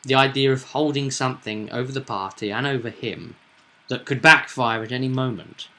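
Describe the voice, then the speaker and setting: A man's voice: medium pitch, steady tone, moderate pace, sharp tone. A man running a tabletop roleplaying game, explaining things in a reflective, conversational way. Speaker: game master.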